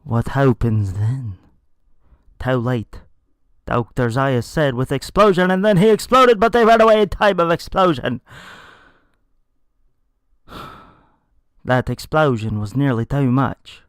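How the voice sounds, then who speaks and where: A man's voice: a little high, big pitch swings, a little slow, pauses often, clear, resonant tone, no room sound, clean studio sound. A man reading a story aloud in a dramatic, comedic style with theatrical, emphatic delivery. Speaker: narrator reading a fan fiction story aloud.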